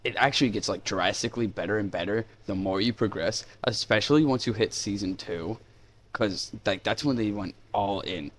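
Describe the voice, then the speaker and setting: A man with a fairly low voice gives a casual video review. He talks informally and with enthusiasm, rambling along without a script. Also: normal speed, a bit bright, slight background noise. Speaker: YouTube reviewer, host. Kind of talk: casual unscripted review of indie animation.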